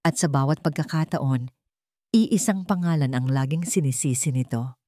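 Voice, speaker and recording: A woman narrating a scripted love drama with deliberate, emphatic phrasing. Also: deep voice, dark tone, no echo, studio quality. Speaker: story narrator.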